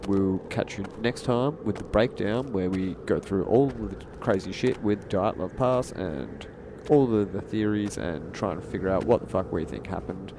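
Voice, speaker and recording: Low-pitched podcast dude chatting casual and slangy, clear street noise behind him. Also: male voice, normal speed. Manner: slangy, laid-back wording. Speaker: podcast host. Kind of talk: casual sweary sign-off to listeners.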